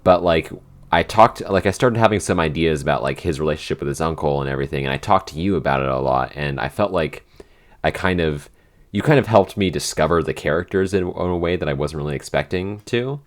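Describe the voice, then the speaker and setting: A man's voice: a bass voice, fairly quick. A man chatting casually as a podcast writer in a behind-the-scenes Q&A, often searching for his words.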